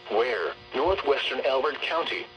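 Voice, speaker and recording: Robotic man voice on weather radio reading storm alerts, formal and measured, medium pitch. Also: animated, a bit bright, faint music behind, low-quality recording. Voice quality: synthetic text-to-speech voice. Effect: weather radio broadcast. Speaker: automated weather radio announcer. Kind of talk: scripted emergency weather alert bulletin.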